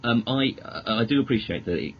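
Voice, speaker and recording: A British man chatting as a podcast guest, measured and polite, often stammering and restarting his sentences. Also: mid-range voice, animated. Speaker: podcast guest, magazine editor. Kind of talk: casual interview chat about films. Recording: dull lo-fi audio with clear noise.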